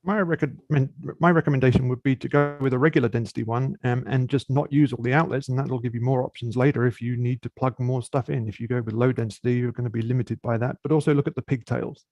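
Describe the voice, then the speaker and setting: A man on a tech panel giving brisk, matter-of-fact advice. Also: medium pitch, fairly even intonation, darkish tone, no room sound. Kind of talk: casual expert advice. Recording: dull lo-fi audio.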